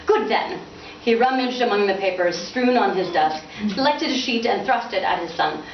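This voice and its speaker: A woman author giving an expressive, lightly dramatized live reading of fiction. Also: medium pitch, moderate pace, recorded from a distance. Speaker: author reading from their novel. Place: indoors in a bookstore. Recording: muffled, echoey, noisy room from afar.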